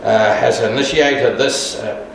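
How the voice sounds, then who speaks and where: A man leading a communion service, speaking quietly and solemnly in prayer without a microphone. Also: medium pitch, slow pace, creaky. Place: large echoey church without a mic. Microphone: distant room recording. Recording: far-off voice in an echoey hall with noise.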